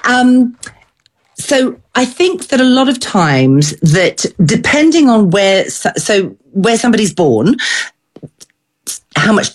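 A woman's voice: a little high, sing-song, takes it slowly. A woman who works as an accent coach, talking casually and rambling, with lots of fillers. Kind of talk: casual conversation.

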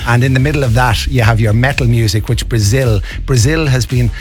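A man's voice: medium pitch, brisk, faint music behind. A man with an Irish accent, a radio guest and presenter, telling travel stories with eager, vivid enthusiasm. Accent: Irish, from Navan. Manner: enthusiastic storyteller, mixes in Irish and Portuguese phrases. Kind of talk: casual radio interview. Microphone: studio microphone.

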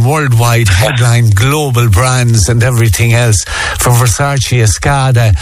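An Irish man hosting a local radio talk show, speaking conversationally with some hesitations. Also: medium pitch. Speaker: radio host.

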